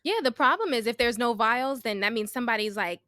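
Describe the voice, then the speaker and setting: A woman's voice: fairly high pitch, expressive, fairly quick, pushed and bright, no echo, clean studio sound. A woman in casual conversation, explaining and clarifying details in an informative way. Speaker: podcast speaker adding explanations.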